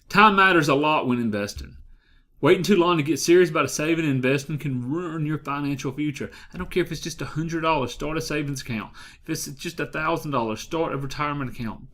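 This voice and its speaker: Male motivational coach, fairly high-pitched, direct and emphatic, repeats for effect. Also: fairly bright tone. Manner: emphatic, repeats key phrases, asks the viewer direct questions. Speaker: life and business coach. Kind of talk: motivational talk to camera.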